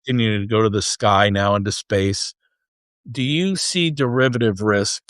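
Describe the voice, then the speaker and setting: A man's voice: fairly low pitch, moderate pace, a bit bright, clear, resonant tone, no room sound, studio quality. A man hosting a conversational interview, informal and thinking aloud as he builds his questions. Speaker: podcast host, interviewer.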